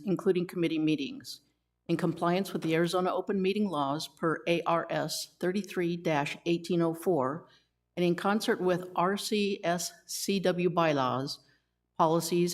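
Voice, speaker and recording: A woman's voice: on the low side, a little mellow. A woman chairing a community committee meeting, reading formal procedures aloud and cracking dry jokes at the room. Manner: wisecracking, reads formal rules then jokes with the room.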